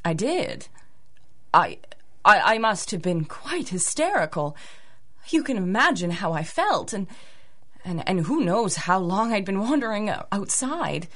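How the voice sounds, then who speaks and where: A woman's voice: medium pitch, very animated, measured pace, a bit bright. A woman playing a genteel, evasive young lady of a manor house in a radio drama, polite and hesitant. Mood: defensive, evasive. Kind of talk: 1930s-style radio drama acting.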